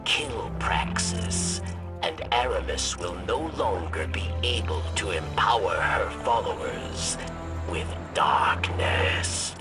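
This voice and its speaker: An actor playing a solemn, wary alien warrior ally in a video game, with halting, deliberate phrasing and a gravelly voice. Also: male voice, on the low side, expressive, recorded from a distance, over music. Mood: grave, urging. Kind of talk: video game dialogue acting.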